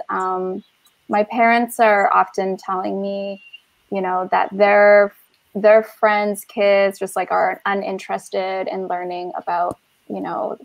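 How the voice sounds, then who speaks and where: A woman's voice: medium pitch, vocal fry, dry recording, rough recording. A woman from Taiwan speaking thoughtfully on a video call, often restarting her sentences. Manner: thoughtful, hesitant with many restarts. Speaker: collective member. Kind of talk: casual panel chat on video call.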